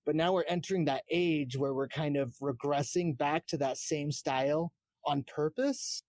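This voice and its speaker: A man's voice: on the high side, fairly even intonation, moderate pace, a little mellow, no room sound. Man chatting casually, close dry sound. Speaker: podcast guest, game streamer. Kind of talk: casual gaming chat.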